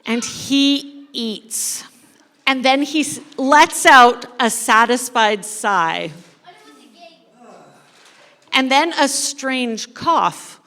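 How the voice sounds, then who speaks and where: A woman giving a playful, dramatic live narration of a story, pausing deliberately as she leads an interactive reading. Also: high voice, expressive, sharp tone. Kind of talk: theatrical live scripted narration of a parable. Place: large indoor church space before an audience. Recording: echoey hall with long reverb.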